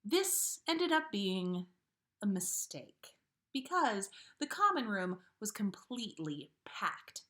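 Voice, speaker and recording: A woman with a mid-pitched voice hosts a story livestream. Her delivery is informal and expressive, suited both to casual chat and to reading fiction aloud to listeners. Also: slow pace. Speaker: podcast host reading listener stories.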